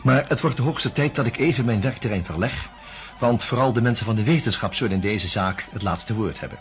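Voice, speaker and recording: An actor playing a measured, polite male psychiatrist in a radio drama, speaking deliberately and reflectively. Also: lowish voice, faint music behind, lightly acted. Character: measured, open-minded male psychiatrist investigating a case. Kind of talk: radio drama acting and first-person narration.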